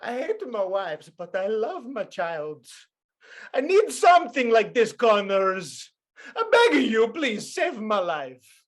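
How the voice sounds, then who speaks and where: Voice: mid-range voice, big pitch swings, takes it slowly. An actor playing a flamboyant, pleading Italian film director with an Italian accent and theatrical broken English in a comedy screenplay read. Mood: pleading, desperate.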